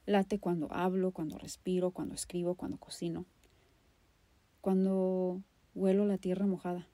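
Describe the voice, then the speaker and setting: Low, mellow Mexican woman, impassioned delivery. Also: no room sound. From Guadalajara, Mexico. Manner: impassioned, emphatic, with dramatic repetition. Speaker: podcast host. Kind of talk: personal spoken monologue, poetic storytelling.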